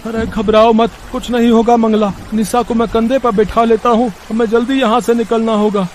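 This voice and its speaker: A man's voice: very high-pitched, level tone, moderate pace, a little hiss or hum. A man voicing a poor village labourer, a caring husband and father, earnest and fretful, in a moral-story cartoon. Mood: distressed.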